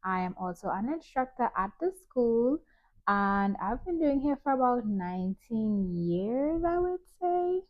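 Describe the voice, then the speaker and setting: A woman's voice: on the high side, sing-song, measured pace, highs cut off. Chatty Trinidad-born woman vlogger. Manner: chatty, many ums and likes. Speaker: hair stylist and YouTuber. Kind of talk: casual vlog chat to camera.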